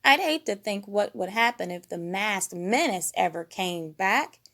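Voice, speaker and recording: Woman as a storybook frog sheriff, male, earnest, sensible, weary, sighing, medium pitch. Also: lively intonation, a little slow, fairly bright tone. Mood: weary dread.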